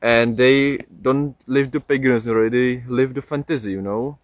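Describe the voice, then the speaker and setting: A young man speaking English as a second language with a Czech accent, talking casually on a livestream, halting with many fillers and restarts. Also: medium pitch, fairly even intonation, no room sound. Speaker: livestream host.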